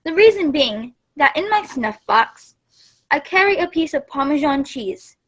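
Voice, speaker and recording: A woman in a radio play acting a kindly, genteel male doctor with a fatherly, measured manner. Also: high-pitched, very animated, fairly bright tone, exaggerated voice, rough recording. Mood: kindly confiding. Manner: avuncular, measured.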